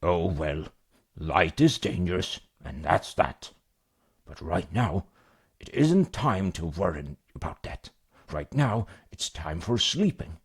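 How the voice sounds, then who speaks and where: A man voicing a big, gruff, fatherly mountain troll in a bedtime story, blustery and booming yet reassuring as he soothes a child. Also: expressive, slow pace, full character voice. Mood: firm, reassuring.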